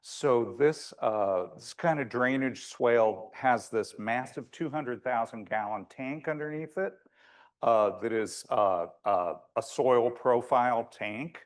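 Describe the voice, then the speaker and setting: A man with a big voice giving an informal, wry slide lecture as a landscape architect, often pausing to find his words. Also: mid-range voice.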